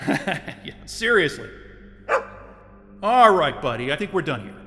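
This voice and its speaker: Male lead: weary and sarcastic, high, bright, long reverb. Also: big pitch swings, takes it slowly. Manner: weary, sarcastic and short-tempered. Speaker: lead character. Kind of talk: audio drama acting.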